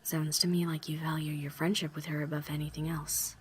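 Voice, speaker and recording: Actress playing a low, calm, stoic young woman. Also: slight background noise, natural voice. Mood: calm reassurance. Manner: calm, measured. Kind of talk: amateur audio drama, anime fan parody.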